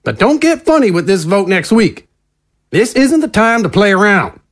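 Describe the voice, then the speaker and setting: An actor playing a slick, glad-handing conservative politician in an audio drama, with a folksy, smooth insider's manner. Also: male voice, sits high, big pitch swings, moderate pace, a bit bright, full character voice. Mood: brisk, confident.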